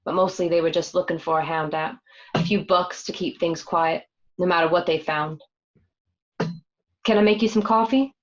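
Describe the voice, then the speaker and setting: Actress as low-voiced mobster's wife, candid. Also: female voice, fairly even intonation, normal speed, long pauses, lightly acted. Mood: matter-of-fact. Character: gangster's wife, candid, matter-of-fact, narrating her life. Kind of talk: scripted first-person narration in an audio drama. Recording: dull, lo-fi home recording.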